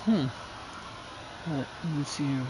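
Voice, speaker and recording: Casual game streamer of mid pitch, musing aloud between lines of read-out text, clear background noise. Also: expressive, slow. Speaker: game livestreamer.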